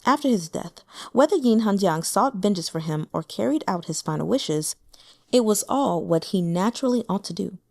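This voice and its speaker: A woman reading a translated fantasy novel aloud as an audiobook, with expressive, measured storytelling. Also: medium pitch, vocal fry. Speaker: audiobook reader.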